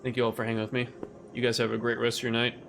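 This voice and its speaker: A man streaming a game from home, chatting casually with viewers as his voice grows raspy and rough. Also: mid-range voice, monotone, very fast, a bit bright, a little hiss or hum. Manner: laid-back, swears casually, talks to chat. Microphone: condenser studio mic. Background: game music.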